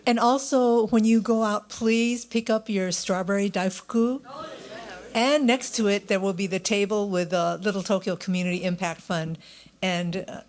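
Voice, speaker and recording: A woman's voice: mid-range voice, steady tone, moderate pace, darkish tone. Female event organizer, mid-range voice, informal housekeeping announcements.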